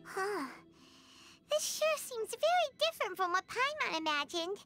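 Tiny fairy game companion, squeaky, childlike, excitable, very high voice, lively swings, anime style. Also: female voice, unhurried, soft background music, heavily acted. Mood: puzzled. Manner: naive, exclaiming, refers to self in third person.

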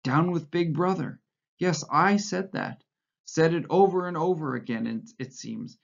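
Reader role: man performing a pleading, near-blubbering prisoner, pitched well above the narration, muffled recording. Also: a little slow, vocal fry. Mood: anxious, sanctimonious. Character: frightened, fawning middle-aged male prisoner.

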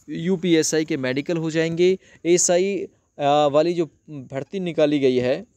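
A man's voice: fairly high pitch, darkish tone, no echo. Man giving informal explainer, dry close sound. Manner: repeats phrases for emphasis, addresses viewers familiarly. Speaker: host of an exam update channel. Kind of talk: informal explainer talk to viewers about exam news.

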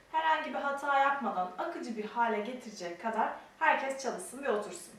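A young adult woman teaching a mime technique step by step, explaining and demonstrating, at times counting out the movements. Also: a little high. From Turkey. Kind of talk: step-by-step instruction. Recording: very echoey room, distant mic, faint noise.

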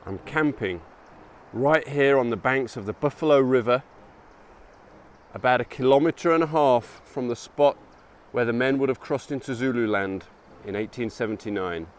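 A man's voice: medium pitch, normal speed. A man in his thirties giving casual, informal commentary as he hosts a hiking vlog, with wry asides. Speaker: host of a history hiking vlog. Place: outdoors in open countryside on a hiking trail. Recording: outdoor noise, open-air ambience.

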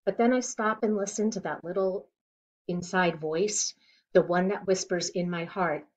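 A middle-aged woman reads a rhyming children's picture book aloud in a deliberate, expressive way, recorded at home on a device mic. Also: on the low side. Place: living room at home, indoors. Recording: dull lo-fi webcam sound.

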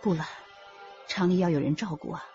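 A woman's voice: fairly low pitch, fairly quick, mellow, natural voice. Actress playing blunt confronting woman in a radio play, sees thru lies, dull audio, faint music. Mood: knowing, pointed. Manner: pointed, confronting. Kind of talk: radio drama acting.

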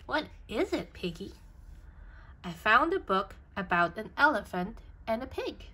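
A woman reading a children's book aloud in an expressive storytelling style. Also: a little high, a little slow.

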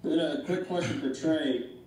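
A man's voice: a little high, normal speed, darkish tone. A man, a sports reporter, asking plain, direct questions at a press conference. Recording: echoey room, far from the mic.

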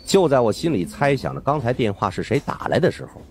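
A man narrating a suspenseful audiobook story in an expressive, dramatic first-person style. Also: mid-range voice, fast talker. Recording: faint music behind the voice.

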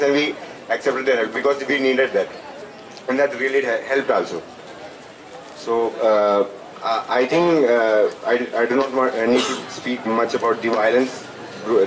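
A man in his twenties with an Indian accent gives an impromptu public address as a student speaker, haltingly repeating phrases as he searches for words. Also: medium pitch. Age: 20s. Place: outdoors at night under a canopy. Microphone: handheld microphone, recorded from a distant camera. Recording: noisy outdoor PA, distant camera pickup.

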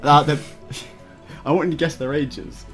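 A man's voice: on the high side, fairly even intonation, measured pace, fairly bright tone. A man hosting a let's play, reading a visual novel aloud with casual asides and stumbling over the text. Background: game music.

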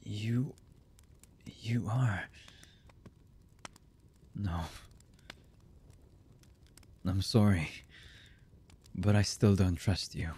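An actor playing a brooding, distrustful young anime villain in a boyfriend ASMR roleplay, with a husky, breathy voice and halting, hushed delivery. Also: male voice, low-pitched, fairly even intonation, a little hiss or hum. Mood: guarded, softening. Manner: slow, halting, hushed delivery with long pauses.

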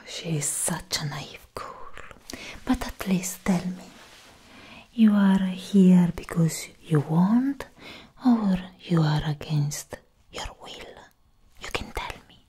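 A woman's voice: fairly low pitch, animated, dark tone. Woman playing a whispering, stern alien agent. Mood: stern, probing. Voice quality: breathy, whispery. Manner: slow, deliberate, condescending. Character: stern, haughty alien government agent, older sister. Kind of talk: soft-spoken ASMR roleplay, half whispered. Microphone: close microphone. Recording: close-miked with audible background hiss.

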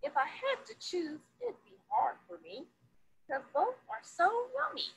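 A woman in her thirties reads a children's picture book in a high voice that swings widely in pitch. She takes her time over each phrase with sing-song expression. Some noise sits behind her on a simple webcam recording. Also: very animated, unhurried, rough recording. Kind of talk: read-aloud picture book for young children.

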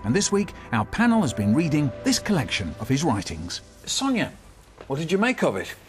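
A man's voice: fairly high pitch, wildly swooping pitch, a little fast, faint music behind. A man hosting a book programme, presenting and putting questions to a panel. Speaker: host.

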